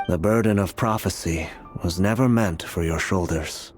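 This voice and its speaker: Fantasy game seer for a male actor: calm, wise, guarded, grave formal delivery, lower register, faint musical bed. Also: moderate pace, lightly acted. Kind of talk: dramatic video game voice acting. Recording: background music under the voice.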